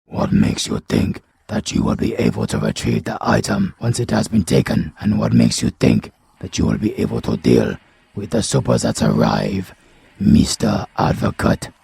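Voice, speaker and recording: Man as a gravelly, demanding mob boss. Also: extremely low pitch, sing-song, normal speed, dark tone, pitched below the performer's own voice, exaggerated voice. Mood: sceptical, demanding. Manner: demanding, pointed questioning. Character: stern, sceptical male mob boss, challenging his advocate.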